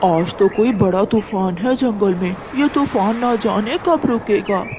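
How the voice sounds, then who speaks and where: A woman's voice: medium pitch, a little slow, lightly acted. A woman voicing a loving, earnest father bird in a children's cartoon story. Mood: worried. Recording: clear background noise.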